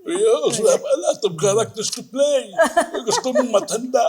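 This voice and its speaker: A man guest chatting and joking in a theatrical, halting manner. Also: very high voice, expressive, darkish tone, breathy edge. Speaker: guest, program director. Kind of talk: joking casual interview chat.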